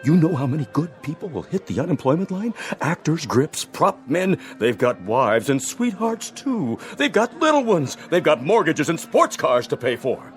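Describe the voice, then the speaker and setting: Pushy, high-pitched movie producer. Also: male voice, big pitch swings, moderate pace, few pauses, soft background music. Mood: grandiose pleading. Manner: wheedling, grandiose salesman-like pleading. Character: pushy, smooth-talking older male movie producer. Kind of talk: radio drama acting.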